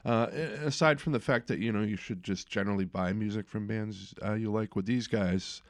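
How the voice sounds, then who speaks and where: A middle-aged man hosting a podcast, chatting casually with many fillers and trailing off quietly. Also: lowish voice, brisk. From eastern United States. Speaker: podcast host. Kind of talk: casual interview chat.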